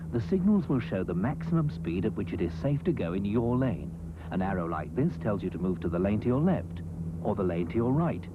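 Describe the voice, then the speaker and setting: A man giving measured, explanatory scripted narration for a public information film, on an old soundtrack. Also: fairly low pitch, narrow, tinny audio, noisy background.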